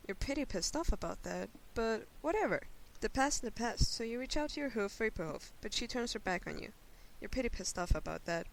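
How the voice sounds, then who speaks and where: A woman's voice: mid-range voice, poor audio. A woman giving an informal dramatic reading of a story in a brash, sweary, conversational way. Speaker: guest reader of fan fiction. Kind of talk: informal dramatic reading, second-person narration. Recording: hissy home recording, faint noise.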